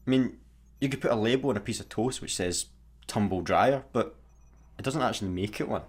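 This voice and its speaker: A man's voice: lowish voice, brisk, husky. A man playing a grumpy, exasperated space satellite worker in a sci-fi comedy radio play, with a sarcastic, grumbling manner.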